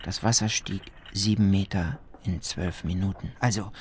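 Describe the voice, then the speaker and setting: A man's voice: sits low, fairly even intonation, normal speed, a little mellow, natural voice. Actor doing a urgent determined husband telling his flood escape, radio drama monologue, some ambient noise behind. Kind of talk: dramatic first-person survivor monologue.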